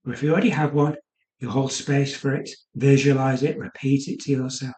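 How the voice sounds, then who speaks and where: A man guiding a yoga nidra meditation in a soothing, unhurried manner, leaving pauses between short phrases. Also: medium pitch, level tone, a little mellow, reverberant. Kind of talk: guided meditation.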